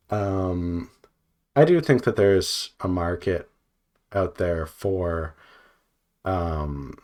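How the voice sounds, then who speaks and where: A man with a low voice speaks casually and without a script. He thinks aloud in a rambling, loosely organized way, pausing often and leaning on filler words as he feels his way through each thought. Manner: hesitant, frequent filler "like" and trailing pauses. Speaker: video creator, indie visual novel developer. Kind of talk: unscripted casual vlog monologue.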